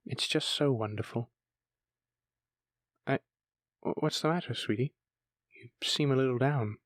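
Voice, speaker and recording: A man's voice: medium pitch, unhurried, no echo, clean studio sound, natural voice. A man playing a bashful, bookish dragon lover in a romantic fantasy audio roleplay, stammering tenderly as he speaks to his sweetheart. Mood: adoring, then concerned.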